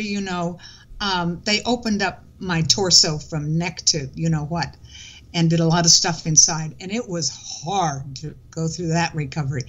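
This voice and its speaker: A woman in her seventies speaks as an interview guest. Her voice sits a little low, and she talks in a casual, reflective way. The sound is slightly muffled, lo-fi video call audio from her home. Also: a bit bright. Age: 70s. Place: living room at home.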